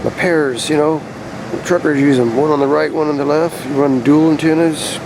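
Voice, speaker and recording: A laid-back man talks to the camera in a rambling, conversational way, explaining as he goes. His voice sits a little high for a man and has a lot of gravelly fry. He is recorded outdoors, with clear ambient and vehicle noise behind him. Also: fairly even intonation, moderate pace. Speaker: vlogger showing a DIY radio antenna install. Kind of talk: casual rambling vlog talk to camera. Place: outdoors beside his truck.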